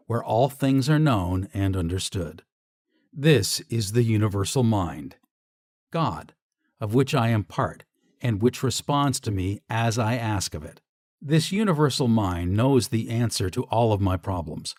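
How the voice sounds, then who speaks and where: A man narrating scripted guided meditation affirmations in a measured, declarative way. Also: mid-range voice, no room sound, studio quality.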